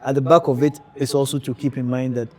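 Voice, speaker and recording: A man hosting an interview podcast, talking in a measured, reflective way with frequent pauses. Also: medium pitch, level tone, a little mellow. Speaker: podcast host. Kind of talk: conversational interview.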